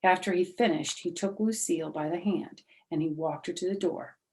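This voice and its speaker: A woman reading a children's storybook aloud in an animated storytelling style. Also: lowish voice, normal speed, poor audio. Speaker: storybook reader.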